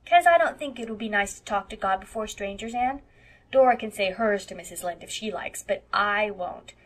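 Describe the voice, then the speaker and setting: A woman reader plays a stubborn eight-year-old country boy from a storybook. He speaks in blunt, insistent bursts with homely childish grammar, pushed up higher and louder. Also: lively intonation, normal speed, close to an everyday voice. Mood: earnest, decided. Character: defiant, plain-spoken eight-year-old farm boy. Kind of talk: audiobook character voice.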